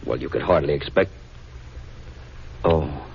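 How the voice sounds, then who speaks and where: A man acting a well-off, dryly defiant young gentleman in a 1940s radio drama, polite and hesitant. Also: rumbling low, measured pace, vocal fry, husky, heavily acted. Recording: muffled, noisy 1940s radio recording.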